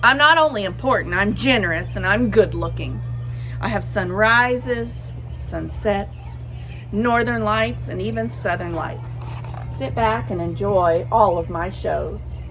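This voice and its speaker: A woman voicing a boastful, playful Sun from a picture book, theatrical and bragging with drawn-out emphasis. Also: mid-range voice, music in the background, close to an everyday voice. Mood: showy. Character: boastful, playful Sun, a cheerful showboating star. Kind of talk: expressive picture-book read-aloud.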